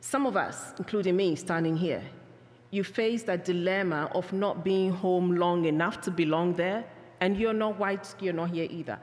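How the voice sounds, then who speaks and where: Ghanaian woman speaker, lowish voice, earnest and reflective, church hall reverb. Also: a little mellow. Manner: earnest, reflective, with halting restarts. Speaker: peacebuilding speaker. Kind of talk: talk with Q&A answers.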